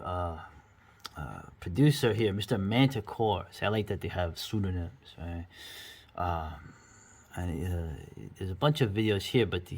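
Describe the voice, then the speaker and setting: A middle-aged man giving casual, rambling, enthusiastic commentary as the host of a video review. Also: on the low side.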